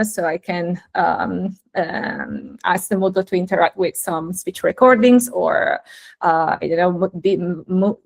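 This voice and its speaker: A young woman, a native Italian speaker, giving a technical talk over a video call, hesitant with many fillers and restarts. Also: mid-range voice. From Italy. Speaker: guest speaker.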